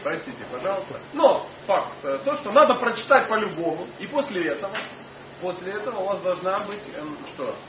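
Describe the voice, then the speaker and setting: A man with a high voice and a foreign accent lectures an audience on scripture. He speaks haltingly, with many fillers and restarts, and often puts questions to the listeners. He is picked up from a distance in an echoing hall, and the sound is dull with steady noise underneath. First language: not Russian. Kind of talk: informal religious lecture to an audience.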